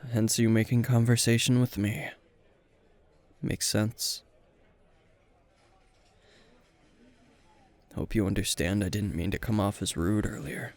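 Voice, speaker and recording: A man playing a weary, gruff veteran hero and teacher at a bar, terse and dry, in an intimate roleplay spoken to the listener. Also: fairly low pitch, darkish tone. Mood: apologetic, subdued. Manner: terse, guarded, dry, sighing.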